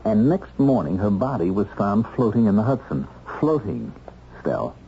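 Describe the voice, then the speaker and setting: An actor playing an earnest, wry ex-convict husband in a radio drama, with a measured and sometimes hesitant delivery. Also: male voice, on the low side, sing-song. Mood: earnest, intent. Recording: dull, noisy old radio recording.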